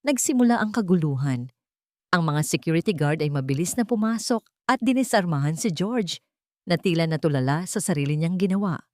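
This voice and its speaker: A Filipino woman with a fairly low voice narrates a romantic drama. Her delivery is emotive and deliberate, in the manner of scripted storytelling. The sound is dry and close, with little room in it. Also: lively intonation, a little mellow, no echo.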